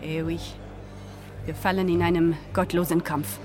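A woman's voice: on the low side, level tone, moderate pace, lightly acted. An actress playing a worldly French woman in a German audio drama, telling grim news with a French accent and dramatic relish. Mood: grave. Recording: studio radio drama with music underneath.

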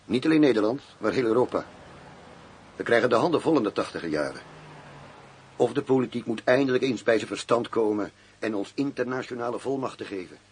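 An actor in a radio drama playing a wry, world-weary undercover agent who poses as a gangster boss. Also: male voice, medium pitch, expressive, brisk, breathy edge. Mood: measured, concerned.

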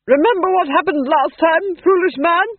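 Man putting on a high, scornful duchess. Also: moderate pace, no room sound. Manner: snorting, scornful. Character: haughty scornful duchess, sharp-tongued noble wife. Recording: narrow, tinny phone-like sound.

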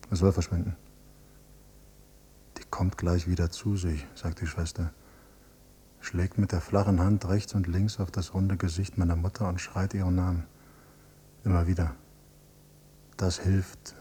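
Radio drama: detached, brooding son, very soft-edged dark tone, clipped introspective phrases, slight tape hum. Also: male voice, low-pitched, flat delivery, measured pace, pauses often. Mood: numb, detached. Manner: terse, clipped phrases, subdued. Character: brooding, detached adult son, quietly disgusted by his father. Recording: noisy, humming cassette copy.

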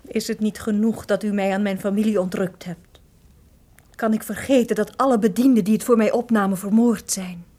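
Husky-voiced distressed noblewoman, played by a woman. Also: mid-range voice, fairly even intonation, normal speed, warm tone, lightly acted. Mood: reproachful. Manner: formal, pleading, impassioned. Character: distressed, defiant captive noblewoman. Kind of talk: theatrical radio drama acting.

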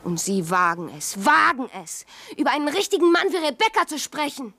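An actress playing a proud, scornful, passionate young gentlewoman in a radio drama, with an impassioned, cutting delivery. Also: high-pitched, sing-song, fairly quick, no room sound, exaggerated voice. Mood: indignant.

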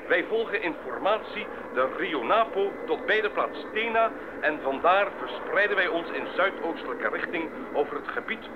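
An actor playing a military squadron captain in a radio drama, giving formal, clipped orders over aircraft radio. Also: male voice, fairly high pitch. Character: commanding military air squadron captain leading a search. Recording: dull radio sound, loud engine noise.

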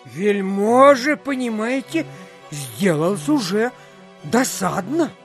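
An actor playing a chatty provincial official who spins a folksy, rambling tale in an audio play, full of filler phrases. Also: male voice, high-pitched, expressive, slow, soft background music, exaggerated voice. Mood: mock-grave, indignant. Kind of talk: theatrical storytelling in an audio play.

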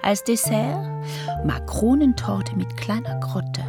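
A woman playing a deliberate announcer who recites lists and titles in a radio play, over music. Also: a little high. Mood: deliberate, savouring.

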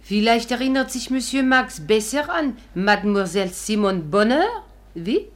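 Actress as a self-assured, sharp-witted lady adventurer. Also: fairly high pitch, lively intonation, moderate pace, close to an everyday voice. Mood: pointed, triumphant. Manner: confident, poised, playful. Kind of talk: 1950s German radio play acting.

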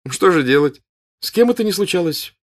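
A man's voice: on the high side, very animated, a little fast, pitched above the performer's own voice. A man voicing an embarrassed young nobleman in an audiobook, strained and falsely casual. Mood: sheepish.

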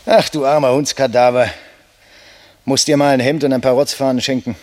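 An actor playing a stout, boisterous young medical student in a theatrical, teasing 1960s German radio drama style. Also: male voice, medium pitch, steady tone, brisk, a little mellow, close to an everyday voice. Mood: mocking banter. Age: young adult.

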